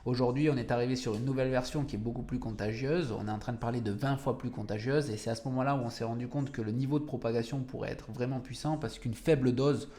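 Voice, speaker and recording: French scientist mixing in English words. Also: male voice, medium pitch, fairly even intonation, fast, few pauses, warm tone. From France. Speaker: cancer researcher, guest. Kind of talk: podcast interview.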